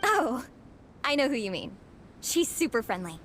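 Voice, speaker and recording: A woman voicing an earnest, excitable young female comic fan. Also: on the high side, normal speed, slight background noise. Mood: friendly. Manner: eager, earnest. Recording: game cutscene with background music.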